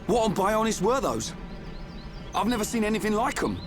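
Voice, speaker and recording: A man's voice: high-pitched, sing-song, moderate pace, background noise. An actor voicing a bluff, confident young male soldier in a video game cutscene, talking bluntly and casually. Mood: bewildered. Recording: music behind the voice. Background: music.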